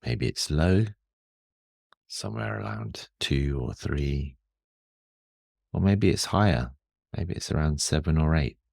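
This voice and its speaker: A man guiding a meditation in a calm, soothing and measured manner, leaving long pauses. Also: very deep voice, creaky, no room sound, studio quality. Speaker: meditation guide. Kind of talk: guided meditation.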